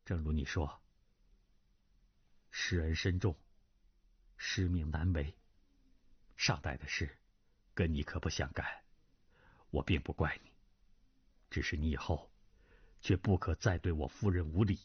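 A man voicing a sorrowful middle-aged nobleman full of regret, speaking low and soft as he reads a martial arts novel aloud. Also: unhurried, pauses often, slightly rough, dry recording, exaggerated voice. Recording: dry, slightly dull lo-fi recording.

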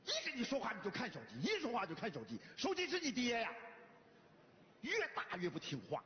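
A middle-aged father, exasperated and quick with a blunt wisecrack. The voice runs high and very animated, with a northern Chinese Mandarin accent and theatrical comic scolding. It rings out in a big reverberant hall. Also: full character voice. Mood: indignant scolding. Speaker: comedy sketch actor. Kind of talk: theatrical comic sketch acting. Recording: echoey hall, dull sound, faint noise.

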